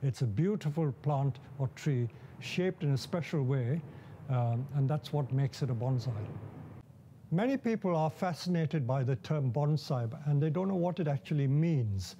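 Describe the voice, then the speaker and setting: Soft-toned man in his seventies, measured. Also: on the high side, lively intonation, slight background noise. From India. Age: 70s. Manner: measured and explanatory, with occasional fillers. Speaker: bonsai nursery owner. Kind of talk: documentary interview, recounting his life. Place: large glass greenhouse full of plants.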